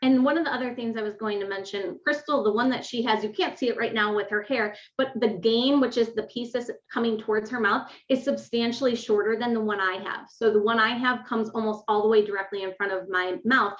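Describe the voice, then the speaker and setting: A woman with a naturally loud, fairly high voice walks listeners through a teaching webinar. She explains patiently with plenty of fillers. The audio is dull and lo-fi. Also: fairly even intonation, a little fast, few pauses, reverberant. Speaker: webinar presenter. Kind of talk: live webinar presentation. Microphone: desk microphone.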